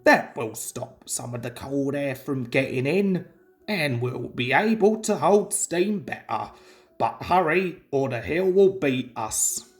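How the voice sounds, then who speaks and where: A storyteller voicing a steady male engine driver in a children's story, giving firm, instructive orders. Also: medium pitch, slow, pitched below the performer's own voice. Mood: urgent.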